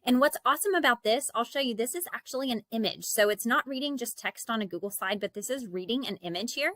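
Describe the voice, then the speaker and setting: A woman demonstrates software in a conversational tutorial voice-over. Her voice is fairly high and very bright, and the sound is dry with no room echo. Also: fast. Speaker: product demonstrator.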